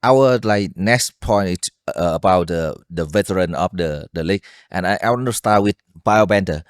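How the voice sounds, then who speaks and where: A man's voice: sits low, normal speed, no room sound, clean studio sound. A man with a Vietnamese accent hosting a podcast, asking questions in casual second-language English. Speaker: podcast host.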